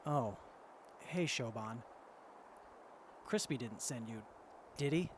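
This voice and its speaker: A man's voice: mid-range voice, animated, slow, background noise. An actor playing a sullen, withdrawn young man in a post-apocalyptic radio drama. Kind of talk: audio drama acting.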